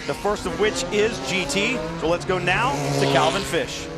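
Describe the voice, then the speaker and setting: A man leading a motor race broadcast as play-by-play announcer, handing off to reporters and calling the start with rising excitement. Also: high voice, moderate pace, loud music behind the voice. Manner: smooth hand-offs, rising to excited calls at the start.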